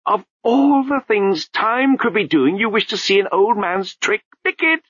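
An actor playing an ancient male abbot in the body of a babbling toddler, squeaky and imperious. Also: lively intonation, measured pace, a bit bright, slightly rough, no echo, lo-fi sound, full character voice. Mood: amused imperious.

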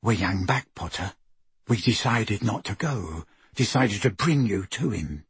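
A man voicing Crabbe, a huge, thuggish teenage boy wizard who is slow and soft-spoken but quick to turn menacing, in an audiobook reading. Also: lowish voice, sing-song, husky, dry recording, voice pushed down, exaggerated voice. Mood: sly.

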